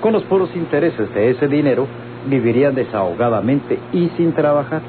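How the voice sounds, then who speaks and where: A tinny, noisy vintage radio-novela recording carries an actor as a rich Mexican male landowner. He speaks formally and insistently, with lively swings in pitch, working to persuade. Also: normal speed, lightly acted. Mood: insistent warning. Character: wealthy, persuasive male hacienda owner pressing women to sell. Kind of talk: old radio-novela acting.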